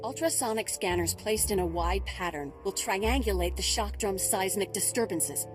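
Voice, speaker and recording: A woman's voice: mid-range voice, moderate pace, over music, natural voice. A woman playing a compassionate, earnest research scientist in a video game, articulate and explanatory. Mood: urgent. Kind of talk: video game cutscene acting.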